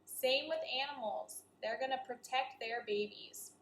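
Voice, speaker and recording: A woman's voice: sits high, a little slow, a bit bright, echoey room, a little hiss or hum, poor audio. A woman teacher reading a children's story aloud, expressively and speaking directly to her young listeners.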